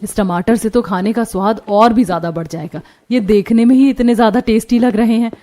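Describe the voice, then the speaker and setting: A woman's voice: a little high, fairly quick, warm tone, natural voice. A woman voicing a cheerful, hardworking young village daughter-in-law in a cartoon story. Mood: pleased.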